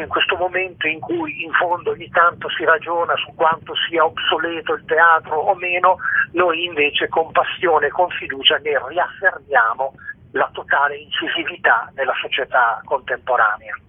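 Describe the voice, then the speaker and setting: Husky man on an echoey phone line. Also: on the high side, expressive, normal speed. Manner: erudite, emphatic, literary phrasing. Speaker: theatre director, interview guest. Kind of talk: radio interview, explaining a play. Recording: narrow, echoey telephone line.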